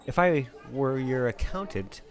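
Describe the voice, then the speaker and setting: A man's voice: medium pitch, lively intonation, a little slow, some chatter behind, close to an everyday voice. A man playing a fussy, nervous accountant on the run, stammering and politely persistent in an acted film script read. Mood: earnest insisting. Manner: hesitant, stammering, earnestly nagging.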